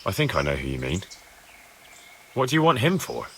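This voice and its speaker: Lively, blunt young medieval investigator. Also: male voice, low-pitched, moderate pace, vocal fry, slight background noise, heavily acted. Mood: wary, curious. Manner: blunt, insistent, questioning. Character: bold, earnest young male investigator in a lord's service. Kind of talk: dramatic video game acting. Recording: music behind the voice.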